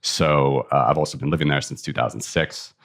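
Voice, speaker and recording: A man's voice: very deep voice, steady tone, brisk, fairly bright tone. A man with an American accent from the Midwest, a guest talking frankly about his strong opinions. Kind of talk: casual interview talk.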